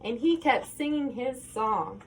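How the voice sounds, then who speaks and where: A woman reading a children's picture book aloud in an expressive, sing-song manner, posing questions as if to young listeners. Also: fairly high pitch, measured pace, husky, rough recording. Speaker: storybook reader.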